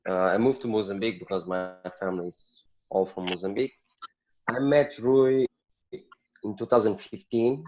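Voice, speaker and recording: Brief: lower male guest from Portugal, candid storyteller, muffled lo-fi line. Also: normal speed, pauses often, darkish tone, a little hiss or hum. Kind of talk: casual interview storytelling. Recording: dull lo-fi call audio.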